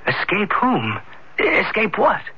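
A man's voice: sits high, wildly swooping pitch, slow, husky, exaggerated voice. An actor playing a concerned, loyal young male student friend, speaking earnestly and solicitously in an old-time radio drama. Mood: puzzled, worried. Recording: dull vintage radio broadcast recording.

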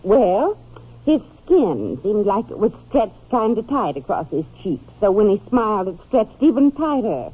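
A woman's voice: mid-range voice, sing-song, a little slow, husky. An actress playing a gossipy, folksy Texas landlady in an old-time radio drama, chatty and rambling. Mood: recollecting. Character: gossipy, folksy Texas landlady who claims to mind her business. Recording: tinny, narrow old radio recording.

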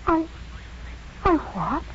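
An actress playing a distraught, vulnerable young woman patient in a 1950s radio drama, speaking in an emotional, halting way. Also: very high-pitched, big pitch swings, heavily acted. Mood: distressed. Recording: muffled, faintly noisy 1950s radio broadcast.

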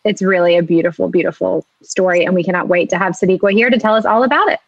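A woman's voice: lowish voice, steady tone, fast talker, creaky, no room sound, lo-fi sound. A woman co-hosting a casual live chat. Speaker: co-host.

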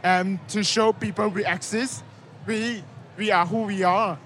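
A man from Thailand, in English, speaking softly and simply outdoors. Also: high voice, fairly even intonation, unhurried, a little hiss or hum. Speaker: podcast guest. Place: outdoor street festival.

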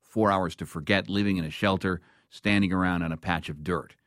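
Deep-voiced male radio interviewer. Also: fairly even intonation, brisk, fairly bright tone. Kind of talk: radio news interview, measured questions and narration.

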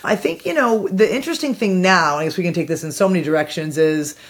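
Low-voiced woman thinking aloud. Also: animated, fast talker. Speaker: podcast guest. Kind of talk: reflective conversational explaining.

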